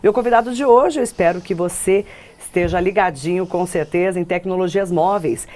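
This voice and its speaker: A woman's voice: fairly low pitch, brisk, a little mellow. A woman with a Brazilian accent hosting a television interview in a conversational style. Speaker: TV interview host.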